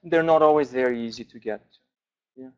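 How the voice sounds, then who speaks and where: A man, a language researcher, answering questions at an academic forum in a thoughtful way with frequent pauses and restarts. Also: medium pitch, clean tone. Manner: thoughtful, many fillers and restarts.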